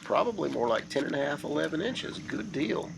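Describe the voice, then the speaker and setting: A man in his thirties with a hoarse, gravelly voice explains his fishing in a slow, steady way. He's out on a creek in a kayak, recorded by a camera mounted behind him. The audio is noisy, with water and insects clearly heard around him. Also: fairly high pitch. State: hoarse from a cold. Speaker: kayak angler. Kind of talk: casual fishing narration. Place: kayak on a wooded creek outdoors.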